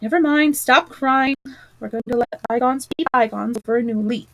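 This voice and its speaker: A woman's voice: a little high, normal speed, fairly bright tone, poor audio. Woman voicing a stern old badger. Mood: reassuring. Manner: severe and commanding.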